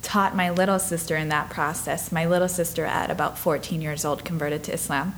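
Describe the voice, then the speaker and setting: A woman in her twenties from Minnesota, telling her life story in an interview, earnest and reflective, sometimes dropping to soft breathy asides. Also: sits low, steady tone, brisk, slight background noise, poor audio. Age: 20s.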